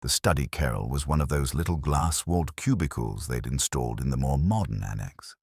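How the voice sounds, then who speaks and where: A man reading a scripted first-person detective story in a measured, literary, brooding manner. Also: rumbling low, vocal fry, a little mellow, slightly rough, dry recording, studio quality. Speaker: narrator.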